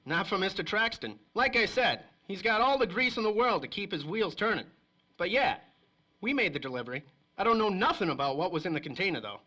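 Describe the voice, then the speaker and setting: A man's voice: high-pitched, sing-song, brisk, bright, forceful tone, lo-fi sound, full character voice. An actor playing a nervous, shifty small-time crook and informant in a 1930s-style radio crime drama, with whiny, slangy wise-guy talk. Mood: reluctant confiding.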